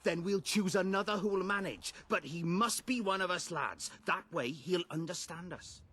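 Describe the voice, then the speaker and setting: A rough-spoken male villager in a fantasy game, resentful and rustic. The voice is husky and swings in pitch. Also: lively intonation, moderate pace, sharp tone, exaggerated voice. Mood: rousing. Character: resentful, rough-spoken peasant man demanding justice. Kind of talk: video game dialogue acting.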